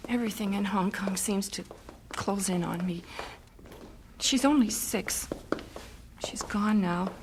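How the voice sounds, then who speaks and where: A woman's voice: mid-range voice, unhurried, long pauses, background noise, natural voice. A woman playing an anxious, devoted missionary wife and mother in an audio drama. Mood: distressed, weary.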